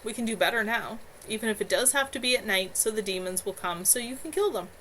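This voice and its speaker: A woman's voice: mid-range voice, animated, fast talker, a bit bright, a little hiss or hum, close to an everyday voice. A woman reading as a stubborn hotheaded young man. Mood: argumentative.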